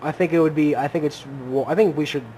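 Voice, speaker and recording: A man's voice: fairly high pitch, fast, warm tone, a little hiss or hum, rough recording. A man co-hosting a podcast, chatting casually about politics and often stopping to restart his sentences. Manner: rambling, many restarts and hedges. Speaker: podcast co-host.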